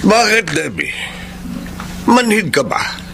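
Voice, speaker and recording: A male actor playing a stern, scolding older father, gruff and blunt, in a Filipino radio drama. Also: high voice, huge pitch swings, slow pace, pushed and bright, a little hiss or hum, heavily acted. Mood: cold, hostile.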